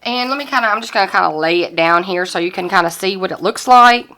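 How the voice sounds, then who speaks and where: A young woman talks through a planner haul in a bright, forward voice. Her voice is hoarse and scratchy after being sick. She chats casually and holds items up to the camera. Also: mid-range voice, quick pace. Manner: chatty, shows items to camera. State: hoarse, recovering from lost voice. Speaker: planner vlogger. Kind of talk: casual product haul vlog. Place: indoors at a desk with lighting kit.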